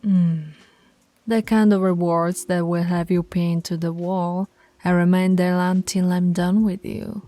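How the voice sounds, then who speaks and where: A woman playing a dominant, teasing female alpha werewolf pack leader in an ASMR roleplay, commanding and often slipping into a breathy whisper. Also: lowish voice, flat delivery, a little slow, warm tone, dry recording, lightly acted. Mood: teasing, seductive.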